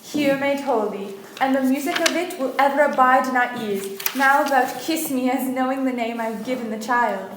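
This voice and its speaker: A woman's voice: high voice, moderate pace, few pauses, noisy background, close to an everyday voice. A woman playing an earnest, naive young medieval damsel in a radio play, speaking formally and guilelessly. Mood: tender. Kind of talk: old-time radio play acting. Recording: echoey room, music behind.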